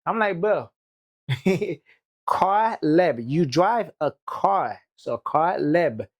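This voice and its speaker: A man from Haiti with a Haitian accent, hosting a podcast in casual chat, animated and joking, with lots of short agreements. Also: a little high, very slow. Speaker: podcast host.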